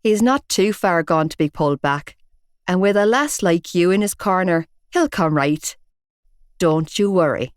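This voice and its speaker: A woman voicing a big, older bearded male gangster with a Belfast accent, gruff but reassuring, in a storybook reading. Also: lowish voice, animated, measured pace, no echo, clean studio sound.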